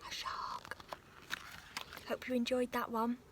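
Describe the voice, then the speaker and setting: A woman reading a children's picture book aloud outdoors in a park, in a soft, breathy storytelling voice with gasps and sudden shouts. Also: on the high side, completely flat delivery, slow pace, whispered. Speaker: storybook reader.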